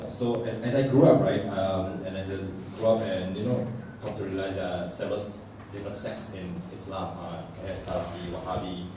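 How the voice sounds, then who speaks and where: A man from Singapore asks a question from the floor with a very deep voice, hesitating and filling pauses. He is heard from a distance in a very echoey hall, over clear background noise. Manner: hesitant, many fillers. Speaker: audience member asking a question.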